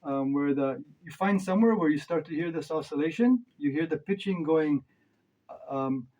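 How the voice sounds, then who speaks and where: A man's voice: fairly high pitch, expressive, normal speed, a little mellow, poor audio. A man giving a casual, off-the-cuff gear review as a presenter, speaking in an informal, opinionated way with some mild swearing. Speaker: presenter reviewing synth modules.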